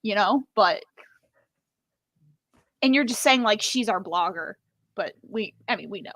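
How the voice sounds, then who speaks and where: A woman's voice: medium pitch, expressive, a little slow, a bit bright, no echo. A woman co-hosting a casual book discussion in a conversational, dryly wry way. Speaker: book podcast co-host.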